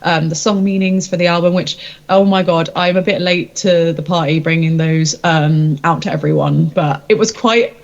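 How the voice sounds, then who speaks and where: A woman's voice: lowish voice, fairly even intonation, normal speed. Chatty woman, slightly dull audio. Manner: chatty, rambling, informal. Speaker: musician, singer-songwriter being interviewed. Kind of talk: casual interview chat.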